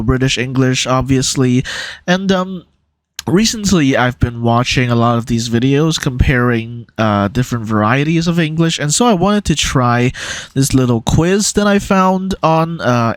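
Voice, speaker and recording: A man's voice: mid-range voice, dry recording. A young man from Hong Kong with a neutral American-ish accent, casually talking through an online quiz in a hesitant, rambling way with many fillers. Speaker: YouTuber.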